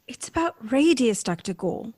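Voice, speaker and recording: A woman's voice: on the high side, sing-song, normal speed, a little mellow, no echo. A woman playing an earnest, compassionate and troubled wife in a radio drama, speaking formally and questioningly. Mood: worried.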